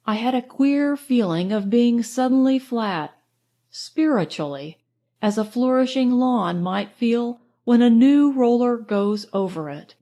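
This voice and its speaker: A woman narrates an audiobook with a somewhat high voice. Her reading is scripted literary storytelling in the first person. She keeps it measured and expressive.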